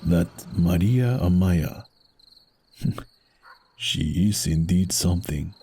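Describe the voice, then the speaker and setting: An actor playing a brooding, honourable masked luchador hero in a pulp audio drama, speaking formally and with measured courtesy. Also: male voice, low-pitched, dark tone, breathy edge, full character voice.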